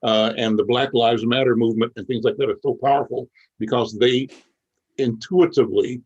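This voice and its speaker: An older man hosting an interview, speaking in a measured, reflective way with frequent pauses. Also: medium pitch, fairly even intonation, husky, muffled recording. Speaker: interviewer, show host.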